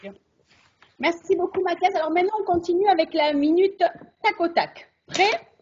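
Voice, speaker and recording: A woman's voice: very high voice, moderate pace, slight background noise. A woman hosting an interview with short prompts and questions, on dull webinar audio. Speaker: host, interviewer. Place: online video call.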